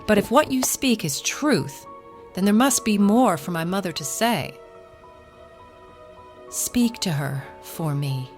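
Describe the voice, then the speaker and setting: A woman's voice: mid-range voice, expressive, takes it slowly, pauses often, over music. A woman playing an earnest, guarded young woman in an audio drama, pleading in formal old-fashioned phrasing.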